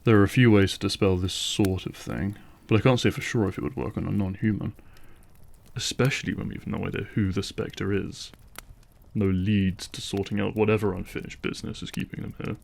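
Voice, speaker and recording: A man's voice: lowish voice, steady tone, brisk, gravelly. A man playing a brooding, terse vampire hunter and reluctant ally in a fantasy audio drama, sounding sceptical. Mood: grave, analytical. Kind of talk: fantasy audio roleplay acting.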